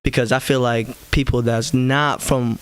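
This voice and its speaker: A man's voice: mid-range voice, flat delivery, normal speed, smooth. A man guesting on a casual podcast, repeating words and searching for terms as he asks questions. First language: immigrant background. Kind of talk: casual chat.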